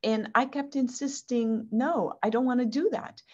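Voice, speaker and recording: A woman's voice: on the high side, fairly even intonation, normal speed. A woman whose first language is Portuguese, earnestly answering interview questions over a video call and often repeating words as she finds her phrasing. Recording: muffled video-call audio.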